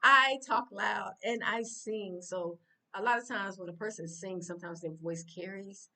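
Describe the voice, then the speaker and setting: A woman's voice: mid-range voice, moderate pace, pushed and bright. A woman from the United States with an American accent, talking casually and informally to her viewers in a rambling way, with lots of fillers and restarts. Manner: addresses viewers directly and informally. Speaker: vlogger. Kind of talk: casual talk to camera. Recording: voice a bit distant from the mic.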